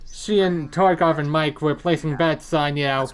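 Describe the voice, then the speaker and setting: A man who created the show, a guest in a casual commentary chat, relaxed and agreeable. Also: on the high side, moderate pace. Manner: relaxed, agreeable, frequent short affirmations.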